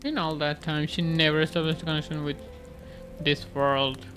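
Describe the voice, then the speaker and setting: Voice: mid-range voice, level tone, moderate pace, a bit bright. A streamer giving casual gameplay commentary, chill and musing aloud while playing. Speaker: streamer playing a story game. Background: game music.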